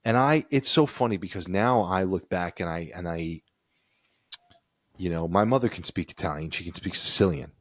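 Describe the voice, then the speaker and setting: Low-voiced man co-hosting a chat. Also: normal speed, dry recording, studio quality. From United States. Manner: reads passages aloud, then riffs conversationally. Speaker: podcast co-host. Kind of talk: casual chat.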